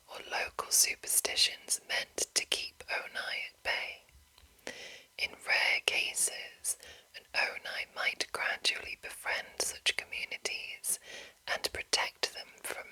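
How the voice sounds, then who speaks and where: A woman whispers slowly and breathily, as an ASMR host reading from a game manual. Her phrasing is hushed and deliberate, with a crisp, bright edge to the airy sound. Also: rough recording.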